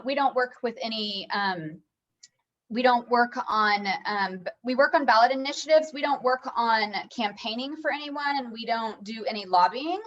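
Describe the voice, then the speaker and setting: A woman's voice: mid-range voice, fairly bright tone, muffled recording. Rambling businesswoman on a video call. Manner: casual, rambling with fillers and restarts. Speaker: communications firm vice president. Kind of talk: self-introduction on a video call.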